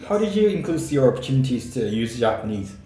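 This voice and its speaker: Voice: mid-range voice, sing-song, fast talker, dark tone, echoey room, soft background music. A host asking casual, hesitant interview questions, with many "you know"s and small backchannels.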